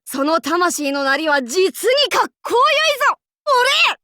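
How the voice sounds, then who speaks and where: A woman's voice: high-pitched, unhurried, sharp tone, no echo, studio quality. A woman voicing a bold, androgynous young demon-king warlord in an anime game, with boastful, archaic lordly speech and playful swagger. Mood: cheerful, boisterous. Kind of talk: anime game character voice lines.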